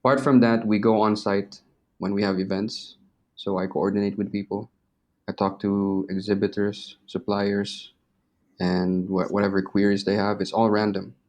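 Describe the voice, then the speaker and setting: Low-pitched young man, casual and slangy. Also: little pitch movement, moderate pace, a little mellow. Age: 20s. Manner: informal, slangy, swearing. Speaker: interview guest. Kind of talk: casual interview chat.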